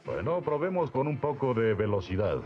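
Heroic young strongman played by a man, Latin American Spanish, assured, animated swings, cartoon adventure with loud music. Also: mid-range voice, normal speed, lo-fi sound, close to an everyday voice. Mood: composed, determined. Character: confident muscular young male hero, calm and good-natured.

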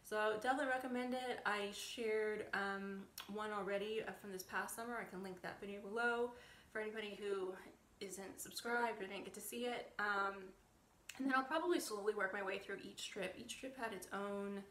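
A woman's voice: medium pitch, moderate pace, a bit bright. Casual woman vlogger, chatty. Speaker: travel vlogger. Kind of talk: casual chat and voiceover narration.

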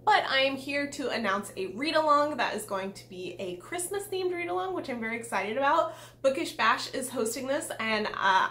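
A woman's voice: fairly high pitch, sharp tone. A young adult woman, a book vlogger making a casual announcement to camera in a room at home. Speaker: book vlogger, channel host. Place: small room at home with bookshelves, indoors. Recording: echoey room sound.

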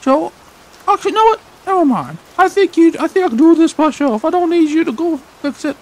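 High, swooping, chatty young woman acted out. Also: sing-song, brisk, a little mellow, heavily acted, poor audio. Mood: rambling. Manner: rambling, chirpy, self-correcting. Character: chatty, apologetic, eager young woman with a new voice. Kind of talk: comedic amateur game redub.

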